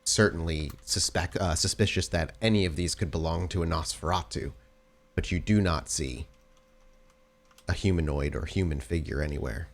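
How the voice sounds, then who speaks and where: A man's voice: low voice, normal speed. A man running a tabletop roleplaying game, narrating scenes descriptively and often rephrasing as he goes.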